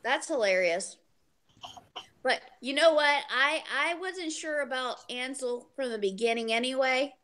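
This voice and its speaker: A high-pitched woman leads a casual book chat over a group video call. She talks bluntly and jokingly, with free use of swearing. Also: unhurried, fairly bright tone. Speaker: book club discussion host.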